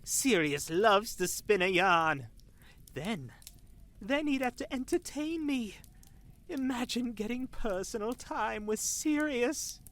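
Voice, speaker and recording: A woman playing an excitable, chatty, teasing tavern friend in a fantasy audio drama, with a dramatic, gushing manner. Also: on the high side, slow pace. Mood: excited, gushing. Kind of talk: fantasy visual novel audio drama acting.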